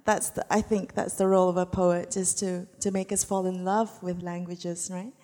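A woman moderating a live audience Q&A, briskly rephrasing the questions for the guest. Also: fairly low pitch, level tone, dark tone. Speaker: panel moderator. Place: festival hall stage. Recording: long hall reverb.

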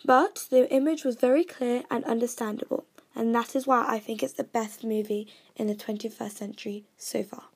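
A woman's voice: on the high side, fairly even intonation, normal speed, few pauses, breathy edge. A young woman from Kenya with a British accent, earnestly reading out her own movie and song reviews. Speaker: vlogger reviewing movies and music. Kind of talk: scripted review read aloud.

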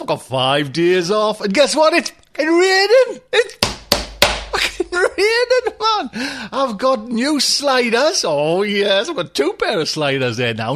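A man's voice: very high-pitched, fairly bright tone. A middle-aged man, hosting a podcast in rambling, chatty talk, laughing and swearing now and then. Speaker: podcast host. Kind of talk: casual chat.

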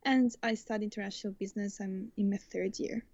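Woman in her twenties, from Italy, flat delivery, dull video call sound. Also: medium pitch, quick pace, dry recording. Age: 20s. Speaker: student guest. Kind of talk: casual interview answers. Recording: muffled video call audio.

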